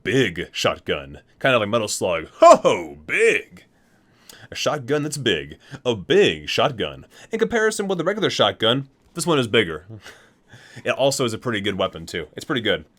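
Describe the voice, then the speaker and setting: A man's voice: fairly low pitch, sing-song, normal speed, fairly bright tone. A man reading game item descriptions in an ASMR video, dropping into casual muttered asides as he goes. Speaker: gaming content creator and host.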